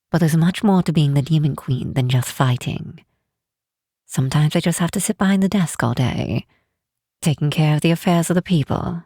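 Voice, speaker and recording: A woman voicing a regal, doting demon queen mother in an ASMR roleplay, with soft, measured, formal speech. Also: sits low, dry recording, clean studio sound. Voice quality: soft, breathy. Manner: measured, formal, tender, unhurried.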